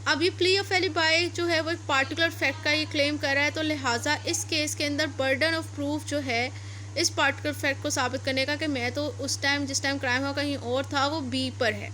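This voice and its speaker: A woman's voice: high-pitched, fairly even intonation, normal speed, sharp tone, low-quality recording. A woman teaching law, reading passages aloud and then explaining them step by step, repeating and rephrasing her points. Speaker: law lecturer.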